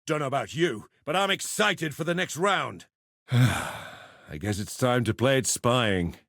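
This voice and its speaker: A man's voice: medium pitch, a little slow, creaky, fairly bright tone, no echo. A roguish pit fighter from a video game, swaggering and slangy. He talks like a theatrical, cheeky schemer with a tough edge. The recording is dry and close. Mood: wry, scheming. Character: roguish adult male arena fighter and pitmaster turned syndicate member. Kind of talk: video game character voice lines.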